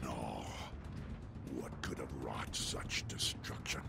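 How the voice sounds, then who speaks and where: An actor playing a heroic, solemn robot army leader in a video game, stately and commanding. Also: male voice, very deep voice, steady tone, takes it slowly, vocal fry, heavy background noise, heavily acted. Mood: awed, grave. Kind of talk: dramatic video game voice acting. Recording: loud music behind the voice.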